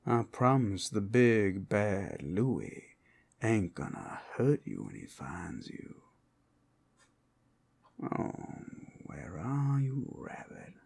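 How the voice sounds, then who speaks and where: A very low, gravelly werewolf acted out by a man for an ASMR roleplay, teasing and possessive. He stretches his words slowly and swings widely in pitch, with a breathy growl in his murmur. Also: big pitch swings, darkish tone, heavily acted. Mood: playful hunting.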